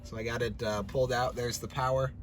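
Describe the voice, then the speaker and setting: A man's voice: medium pitch, flat delivery, fairly quick. A man giving a casual hands-on tutorial, talking informally and thinking aloud as he works. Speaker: DIY truck vlogger. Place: in or around a pickup truck. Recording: clearly noisy, vehicle ambience.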